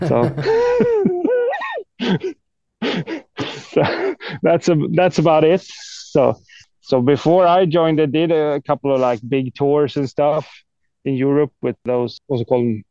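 A man's voice: a little high, slow pace, long pauses, mellow, no echo, dull audio. A man from Sweden with a Swedish accent chats casually as an interview guest, in a hesitant, self-deprecating way. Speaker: guest, death metal guitarist.

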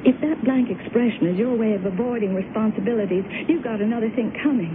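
Actress as high-voiced, sardonic jilted wife, tinny sound. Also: female voice, fast talker, natural voice. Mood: indignant, firm. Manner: pointed, sardonic, scolding. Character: insistent jilted American wife, sardonic and hurt. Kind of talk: radio drama acting. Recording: noisy, tinny old radio broadcast recording.

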